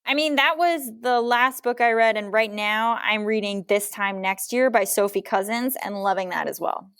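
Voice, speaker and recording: Smooth-voiced woman, dry close sound. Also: on the high side, normal speed, a bit bright, no room sound. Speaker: guest novelist. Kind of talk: casual interview conversation.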